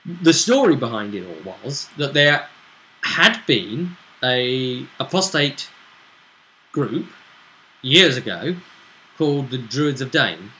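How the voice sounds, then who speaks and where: A man's voice: medium pitch, big pitch swings, slow pace, bright, forceful tone, low-quality recording. A man casually recapping a tabletop role-play session as game master, rambling as he explains it.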